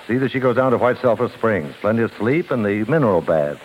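A brisk, scolding family doctor acted by a man in a 1950s radio drama. His low voice is animated and authoritative, on a faintly noisy old broadcast. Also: highs cut off, lightly acted. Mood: firm, advising.

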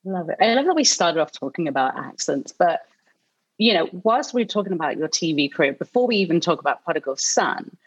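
A woman's voice: fairly low pitch, big pitch swings, fairly quick, husky, lo-fi sound. A woman from South London with a slightly American-tinged accent, interviewing in an upbeat, encouraging way. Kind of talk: interview chat.

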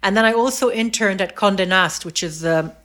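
Middle-aged woman guest, thoughtful and measured, frequent pauses and self-corrections. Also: mid-range voice, a bit bright. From Egypt, raised in the US, Saudi Arabia and England. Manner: thoughtful, many fillers and restarts. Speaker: podcast guest. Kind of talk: reflective interview conversation.

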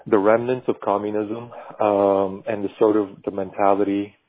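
A man's voice: lowish voice, flat delivery, moderate pace. A man from Bulgaria, a podcast guest who works in animation, talks in a thoughtful, searching way with many restarts. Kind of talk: reflective conversational interview. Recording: tinny phone-like remote call.

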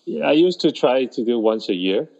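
Taiwan-born guy being interviewed, gravelly dark voice, kinda dull lo-fi sound, stops and restarts a lot. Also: medium pitch, animated. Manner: halting, with many restarts and pauses. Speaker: festival organizer. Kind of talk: conversational interview answers.

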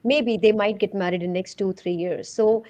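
Woman podcast host, medium pitch. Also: steady tone, normal speed, dark tone, dull audio. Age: 30s. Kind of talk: conversational interviewing.

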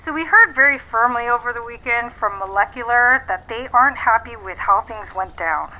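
An actress playing a brisk, persuasive businesswoman on a phone call in a naturalistic audio drama, with a businesslike, coaxing manner. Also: on the high side, lively intonation. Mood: matter-of-fact. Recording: dull, band-limited phone line.

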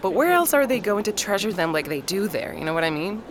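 An actress playing a chatty, amused friend who tells an anecdote in an animated, gossipy way in an audio drama. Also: female voice, medium pitch, quick pace, natural voice. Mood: amazed. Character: chatty, amused woman friend, enthusiastic storyteller. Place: outdoors on a walk in town. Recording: clear street noise, outdoor ambience.

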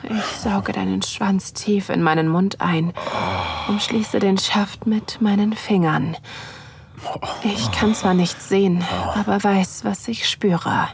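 An actress playing a nervous, curious wife who narrates an intimate erotic audio drama in a soft, often whispering voice. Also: female voice, medium pitch, steady tone, slow, creaky, slight background noise. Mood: aroused, absorbed.

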